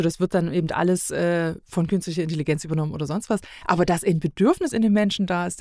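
A woman's voice: fairly low pitch, a little mellow, no room sound. Talkative woman, very dry close sound. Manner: talkative, thinking aloud, emphatic repetition. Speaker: podcast guest. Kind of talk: casual reflective discussion.

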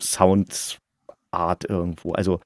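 Low-voiced man hosting, dry close sound. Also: flat delivery, dry recording. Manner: halting, often breaks off and rephrases mid-sentence. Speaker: interviewer, podcast host. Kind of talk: casual interview chat.

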